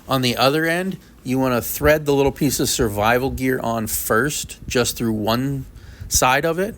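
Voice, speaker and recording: A man's voice: medium pitch, a little slow, a bit bright. A man with a mid-range voice presents a product review. He explains each feature and step in a plain, practical manner, as in an instructional unboxing video.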